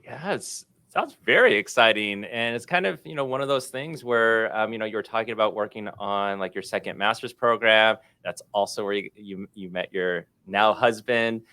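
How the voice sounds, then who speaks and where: A man's voice: on the low side, moderate pace, few pauses, bright, forceful tone, clean tone. A man hosting an interview podcast, upbeat, with frequent fillers like "you know" and "um". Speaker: podcast host.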